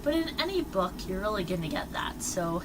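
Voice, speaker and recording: A young woman rambles through a casual book chat, full of fillers and "like," her voice slightly worn from a cold. She sits away from the mic, so she sounds a touch distant, with steady noise behind her. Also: mid-range voice, a little fast. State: losing her voice, getting sick. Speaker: book vlogger. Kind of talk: casual monthly reading wrap-up.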